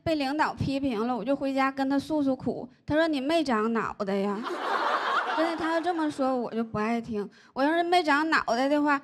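A woman, doing a stand-up comedy set into a handheld mic on a large stage, telling stories deadpan and landing punchlines. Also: sits high, brisk. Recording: live audience laughter noise. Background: audience laughter.

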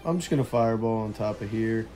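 A young man with a hoarse, partly lost voice, casually commentating a mobile strategy game and chuckling quietly as he plays. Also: fairly low pitch, fast talker, music in the background. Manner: relaxed, chuckles quietly while playing.

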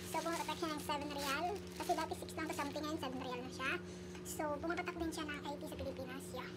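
A woman's voice: high voice, fairly even intonation, fast, very noisy. A young woman with a Filipino accent chats casually as a vlogger, mixing in English words, on an amateur phone video. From Philippines. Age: young adult. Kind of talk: casual chatty shopping haul vlog. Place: supermarket aisles, later a small room at home.

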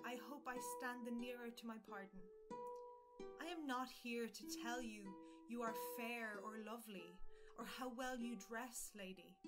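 A bold, silver-tongued gallant acted by a woman pays court in an audio play of early modern verse. The voice is high and the delivery measured and theatrical. Plucked guitar music plays behind it. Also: natural voice. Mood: courtly, assured. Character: bold, eloquent young gallant wooing a married lady.

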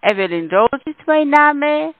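A non-native woman with a foreign accent, reciting a scripted call centre pitch over the telephone. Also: animated, unhurried, no echo. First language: not German. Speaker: scam caller posing as investigator. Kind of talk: scripted phone pitch in broken German. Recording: phone-like telephone line.

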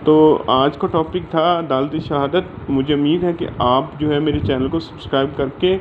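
A man's voice: fairly high pitch, fairly even intonation, moderate pace. A man with a Pakistani accent explaining material in an informal lecture, leaning on filler phrases, recorded on a phone. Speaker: law lecturer. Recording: dull lo-fi audio with faint background noise.

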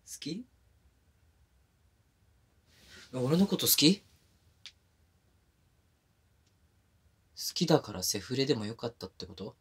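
A man's voice: mid-range voice, sing-song, quick pace, slightly rough, full character voice. A man playing a smitten, apologetic young boyfriend in an intimate ASMR voice drama, speaking casually and tenderly. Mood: earnest, relieved.

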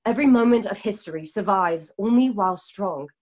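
Radio drama: earnest woman revolutionary, acted by a woman, measured oratory, mid-pitched, dull lo-fi recording. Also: lively intonation, darkish tone, natural voice. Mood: solemn, earnest. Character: solemn idealistic woman rebel preaching nature and unity. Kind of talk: remote radio play reading. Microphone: video call. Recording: dull lo-fi video call audio.

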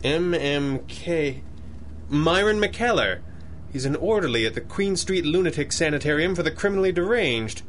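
An actor playing a grouchy, commanding masked crime-fighter with dry, formal speech in a 1930s-style radio drama. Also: male voice, a little high, lively intonation, normal speed, fairly bright tone, slight background noise. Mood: grave, urgent.

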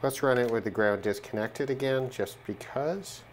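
A man giving an informal hands-on gear demonstration, thinking aloud in a measured way as he goes. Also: medium pitch, a little hiss or hum. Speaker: hobbyist demonstrating a shortwave radio test. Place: indoors at a work desk.

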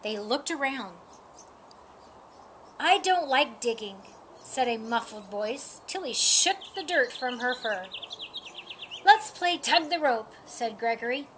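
A middle-aged woman reads a children's storybook aloud in a sunny yard, her high voice swinging widely. She takes her time over each line. Faint outdoor noise sits behind her. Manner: slow, lively, lifts her voice for animal lines. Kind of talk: reading a picture book aloud. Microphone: camera a few feet away.

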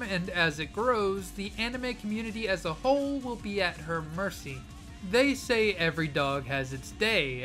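Bright-voiced man, mock-serious commentary. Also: high-pitched. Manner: mock-serious, punning, playfully dramatic. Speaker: anime YouTuber. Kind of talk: scripted video commentary.

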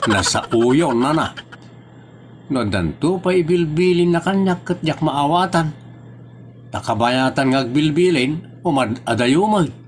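A man's voice: a little high, animated, unhurried, slight background noise. A man playing an irritable older villager in a comedy drama, grumbling and exclaiming in an Ilocano accent. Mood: complaining.

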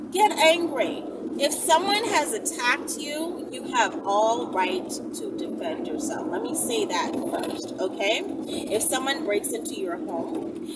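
A woman in her thirties with a fairly high voice talks casually but emphatically to her viewers. She takes her time, circling back over her points. She is in a car, a little away from the mic, with very loud road and vehicle noise around her. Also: distant mic. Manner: emphatic, repetitive, checks in with "right?" and "okay?". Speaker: vlogger answering viewer questions. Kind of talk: casual chat to camera. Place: inside a car, often moving. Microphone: camera or phone mounted at arm's length. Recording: noisy car interior, off-mic, vehicle rumble.

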